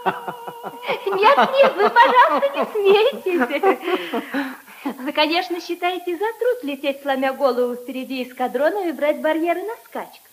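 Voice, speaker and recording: Very high-voiced, flirtatious society lady. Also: measured pace, slight background noise. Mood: coquettish complaining. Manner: theatrical, coquettish, sighing emphasis. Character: flirtatious, gushing society lady fussing over charity work. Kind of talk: 1950s Soviet radio drama acting.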